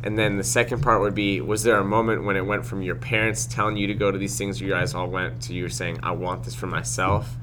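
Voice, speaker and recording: A man's voice: low voice, brisk, few pauses. A young man interviewing his teacher in a classroom, asking long, rambling questions eagerly. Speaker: student interviewer. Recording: steady background noise.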